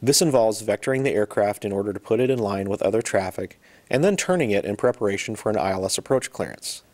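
A man reading scripted educational narration about procedures in a measured, explanatory manner. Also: fairly low pitch, lively intonation, darkish tone, no echo. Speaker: narrator of an aviation training video.

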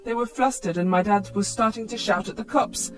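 A woman's voice: medium pitch, fairly quick. Woman narrating a scripted personal story, background music.

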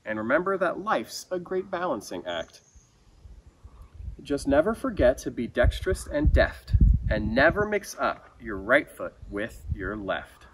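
A man's voice: a little high, sing-song, a little slow, poor audio. A man reading a rhyming children's picture book aloud with theatrical, dramatic emphasis, like a naturalist storyteller. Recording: outdoor recording with background ambience noise.

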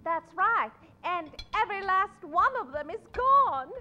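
A woman's voice: very high-pitched, big pitch swings, measured pace, faint music behind, full character voice. A woman playing a melodramatic, genteel lady dressmaker in a stage radio play. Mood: distressed. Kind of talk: old-time radio acting. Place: theatre stage. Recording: dull stage recording.

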